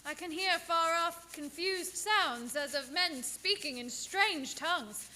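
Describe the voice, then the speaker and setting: A woman's voice: very high voice, lively intonation, bright, forceful tone, slight background noise, full character voice, low-quality recording. An actress playing an entranced young woman who speaks like a haunted medium in a radio drama. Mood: fearful trance. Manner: slow, trance-like, repetitive. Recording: music under live-streamed performance.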